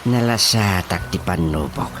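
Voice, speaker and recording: An actor with an Ilocano accent playing a flustered, excitable older village chairman in a comic radio drama, stammering and muttering to himself. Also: low voice, unhurried, noisy background. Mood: flustered, eager. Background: prominent music.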